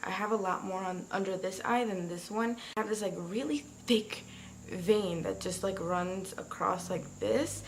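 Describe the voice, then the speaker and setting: A woman reviewing a product in a casual, chatty way, talking straight to her viewers, with a slightly raspy early-morning voice. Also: mid-range voice, unhurried, a little hiss or hum, rough recording. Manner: chatty, talks to viewers directly. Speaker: beauty vlogger.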